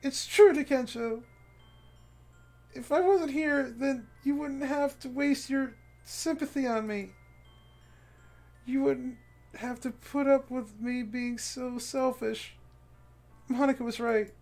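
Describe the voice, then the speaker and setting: A man's voice: takes it slowly, long pauses, a little mellow, exaggerated voice. A man voicing a cheerful, clingy teenage girl from a visual novel, in a raised girlish voice that turns tearful. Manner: high girlish voice, breaking into tearful pleading. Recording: faint game music behind.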